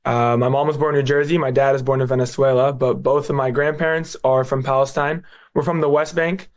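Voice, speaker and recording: A man's voice: mid-range voice, fairly even intonation, brisk. A young man from the United States, a guest answering interview questions in an earnest, plain-spoken way. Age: young adult.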